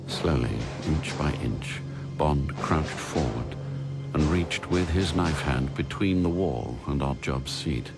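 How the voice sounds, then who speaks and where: An extremely deep-voiced man narrates a dramatic story from a script. Music plays clearly beneath his voice. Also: takes it slowly.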